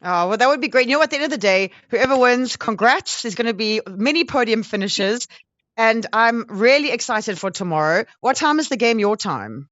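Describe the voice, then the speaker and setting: A woman's voice: on the high side, expressive, normal speed, fairly bright tone, no room sound, dull audio. A South African woman hosting a live radio interview in a chatty, effusive and informal way.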